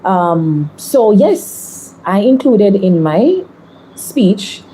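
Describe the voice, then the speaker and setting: A woman in her thirties with a Jamaican accent, a politician answering interview questions in a measured, deliberate way. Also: medium pitch, sing-song, mellow, slight background noise, rough recording. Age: 30s.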